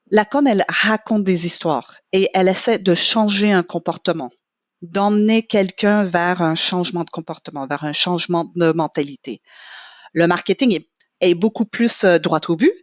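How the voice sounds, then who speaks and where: A woman's voice: on the low side, no room sound. Dry-voiced woman, native English speaker. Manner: measured, with frequent "euh" pauses. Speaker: guest, training marketing manager. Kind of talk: podcast interview, explaining at length.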